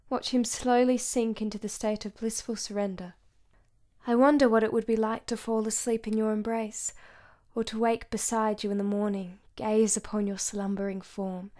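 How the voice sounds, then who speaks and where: A woman's voice: fairly high pitch, mellow, close to an everyday voice. Actress as a tender, yearning married lady. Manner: formal, tender letter reading. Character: Clara, tender, yearning married young mother. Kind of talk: dramatic monologue in radio play.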